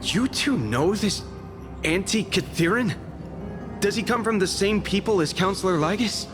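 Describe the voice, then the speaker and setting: Game guard: young man, high-pitched, polite and earnest, strong music behind him. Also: animated, normal speed. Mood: polite, concerned. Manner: polite, formal. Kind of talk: scripted video game voice acting.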